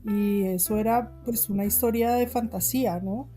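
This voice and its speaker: A woman's voice: mid-range voice, steady tone, normal speed, mellow, faint music behind. A Colombian woman in her forties with a Bogotá accent, a guest writer talking casually in an interview, chatty with frequent laughs and fillers. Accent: Bogotá Colombian Spanish. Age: 40s.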